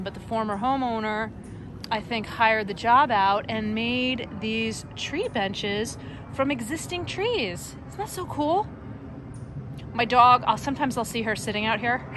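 A woman's voice: fairly high pitch, a bit bright, a little hiss or hum. A middle-aged American woman giving a casual, enthusiastic walking tour of her backyard garden, chatting with lots of fillers, recorded outdoors on a phone. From United States. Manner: chatty, enthusiastic, frequent fillers and small laughs. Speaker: garden blogger.

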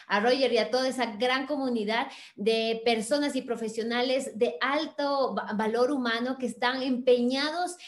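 A woman in her forties hosting a podcast interview over a video call from a bright room at home, effusive and affectionate with her guest. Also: on the high side, steady tone, normal speed, hardly any pauses, roomy sound. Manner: warm effusive praise, affectionate sign-offs. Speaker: podcast host.

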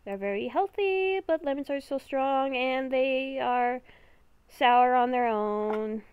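A woman giving casual gameplay commentary, talking to herself in short, halting phrases. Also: sits high, clear, resonant tone, dry recording, lo-fi sound. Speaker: gamer recording a roleplay series.

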